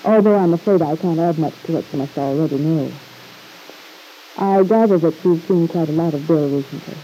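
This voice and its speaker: A woman's voice: low-pitched, a little fast, mellow, lightly acted. An actress playing a flippant, defensive young woman with an arch, ironic manner in an old-time radio soap opera. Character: flippant, defensive young wife and mother, a niece. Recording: muffled hissy old radio recording.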